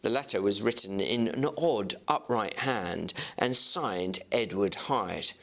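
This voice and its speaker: A man narrating classic fiction in a theatrical, expressive way, as a dramatic reading. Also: lowish voice, slow, vocal fry.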